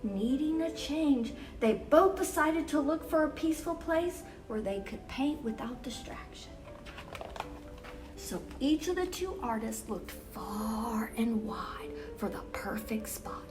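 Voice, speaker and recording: A woman reading a picture book aloud to children as a storyteller, expressive and exclamatory, with dramatic emphasis on key words. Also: high-pitched, slow, far from the mic, over music. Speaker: children's storyteller and event host. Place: University museum gallery.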